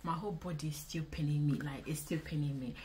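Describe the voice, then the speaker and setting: Woman in her twenties, Nigerian accent, low-pitched voice, casual Q&A vlog, expressive, noticeable background noise. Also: fast. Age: 20s. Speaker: vlogger. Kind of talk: casual Q&A chat to camera. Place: small indoor room.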